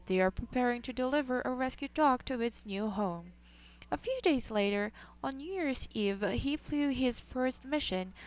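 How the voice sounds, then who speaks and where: A woman narrating a scripted news report in a measured, even delivery. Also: fairly high pitch, poor audio.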